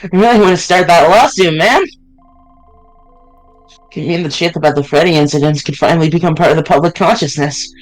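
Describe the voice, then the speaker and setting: A man's voice: on the high side, fairly quick, pauses often, faint music behind, natural voice, rough recording. A man voicing a loyal young male friend character while reading a fan visual novel aloud on stream. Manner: casual, blunt delivery with mild swearing.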